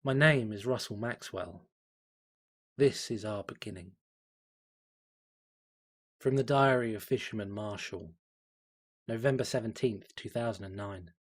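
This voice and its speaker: A man reading scripted narration in a measured, formal and grave manner, like a chronicler. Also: on the low side, slightly rough.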